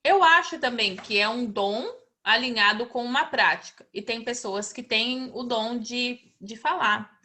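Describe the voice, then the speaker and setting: Bright high-voiced Brazilian woman host. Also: unhurried. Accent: Brazilian Portuguese. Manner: agreeable, many filler tags and restarts. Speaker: English teacher hosting a mentoring talk. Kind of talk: casual conversation.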